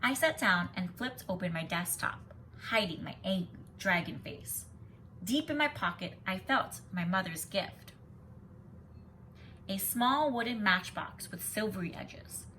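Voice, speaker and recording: A woman with a bright voice reads aloud as a kindergarten teacher. Her storytelling is emphatic and instructive, made for young children. There is faint noise in the background. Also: medium pitch, expressive, measured pace, low-quality recording. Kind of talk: expressive children's read-aloud with explanations.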